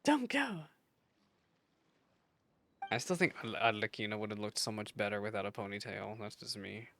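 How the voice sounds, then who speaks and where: A woman's voice: extremely low pitch, animated, moderate pace, creaky, a bit bright, slight background noise. A woman streamer giving casual, chatty, playful commentary with exclamations during a game playthrough.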